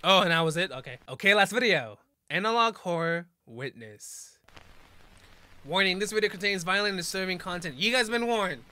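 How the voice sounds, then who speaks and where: High-pitched young man doing casual reactions. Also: animated, normal speed, pushed and bright. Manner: informal, slangy, exclaiming. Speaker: reaction video host. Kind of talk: casual live reaction, reading on-screen text aloud and commenting.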